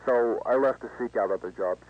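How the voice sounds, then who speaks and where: A man's voice: medium pitch, fairly quick, lightly acted. A man playing a polite, laid-back young job seeker, speaking hesitantly and casually in an acted phone conversation. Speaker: voice actor in an analog horror video. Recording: dull, lo-fi phone-call sound.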